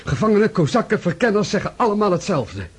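A young army courier in a radio drama. His high voice is very rough and breathless. He reports to superiors in urgent, clipped phrases. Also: very animated, fast, full character voice.

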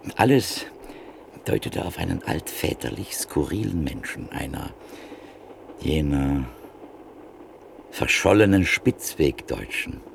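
A weary middle-aged art dealer in an old radio play. His voice is very deep and gravelly, and he speaks in a measured, reflective storytelling manner over a faint hiss. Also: lively intonation, heavily acted. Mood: thoughtful. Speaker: antique print dealer. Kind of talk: classic radio drama acting.

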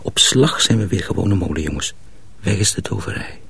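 Husky, secretive young mill apprentice. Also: male voice, low-pitched, dry recording, exaggerated voice. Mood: earnest, hushed. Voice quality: husky, creaky, often hushed. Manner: hushed, deliberate, explanatory. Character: secretive, cautious young male miller's apprentice, patiently instructing. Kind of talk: Dutch radio drama acting.

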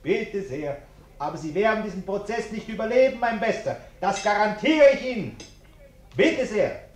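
An actor playing a respectable, over-polite businessman who justifies himself and blusters, in a German radio play. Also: high-pitched. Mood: indignant, blustering. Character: respectable bourgeois businessman, ingratiating host, pompous and irascible.

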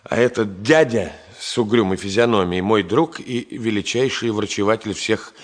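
An actor playing a jovial, boisterous engineer in a Soviet radio drama, teasing and exclaiming theatrically. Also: male voice, lowish voice, normal speed, natural voice. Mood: jovial, exuberant. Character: jovial, boisterous engineer, bantering with friends and wife.

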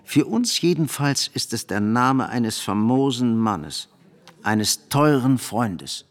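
An actor playing a proud, genial middle-aged bourgeois host in a German radio play, speaking effusively and with emphasis. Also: male voice, medium pitch, expressive, slow pace.

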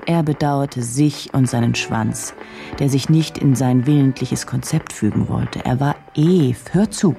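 Brooding woman journalist in a radio drama, low dark voice, intense and indignant, faint music behind. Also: a little slow, vocal fry, heavily acted. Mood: scathing. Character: driven, troubled female journalist, brooding and indignant. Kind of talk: German radio drama, dialogue and inner monologue.

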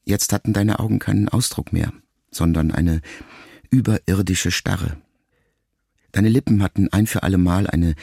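A man reading a literary letter aloud as an audiobook narrator, in a slow, solemn and reflective way with long pauses. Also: low voice, gravelly, mellow, breathy edge, no room sound, clean studio sound.

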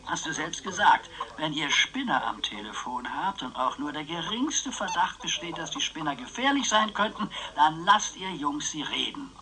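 An actor playing an eccentric, playful man in his eighties in a radio crime drama, stubborn and scheming, with theatrical, drawn-out phrasing. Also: on the high side, big pitch swings, sharp tone, heavily acted, low-quality recording. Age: 80s.

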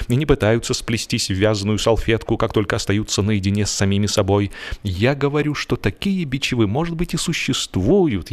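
A male audiobook narrator with a mid-pitched voice reads comic literary prose. His delivery is expressive and measured, and he places his pauses deliberately. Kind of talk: scripted humorous literary narration.

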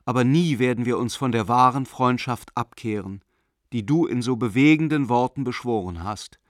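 A man acting an earnest family man and official, caught between doubt and hope, in a radio play. He voices his letter reflectively, with deliberate, rhetorical phrasing and a medium pitch. The recording is dry and close to the studio sound. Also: no room sound, natural voice. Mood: proud, affable. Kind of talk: radio drama letter reading.

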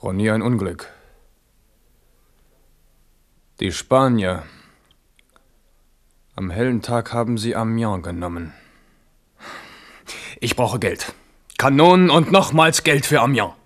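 A man's voice: medium pitch, animated, a little slow, long pauses, close to an everyday voice. An actor playing an ardent, weary but resolute French king in a German radio drama. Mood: urgent.

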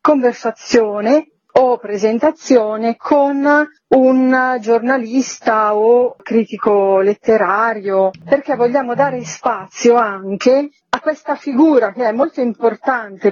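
A woman speaking as an artistic director in an interview, explaining in a measured way with searching pauses. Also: fairly high pitch, a little mellow, dry recording, highs cut off.

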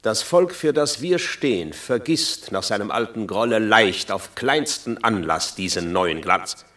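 An actor playing a spiteful, plotting Roman tribune in a radio play, confident and conspiratorial. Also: male voice, lowish voice, expressive, a little slow, a bit bright. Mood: scheming. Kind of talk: classic radio play acting.

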